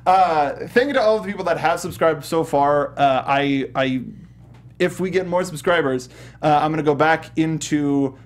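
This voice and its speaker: Higher-voiced man hosting a gaming chat show. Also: normal speed. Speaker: show host. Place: studio.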